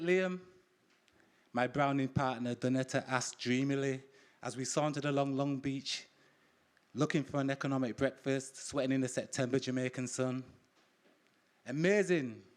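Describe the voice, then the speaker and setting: A man reading his own first-person story at a live event, measured and descriptive, voicing bits of dialogue. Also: medium pitch.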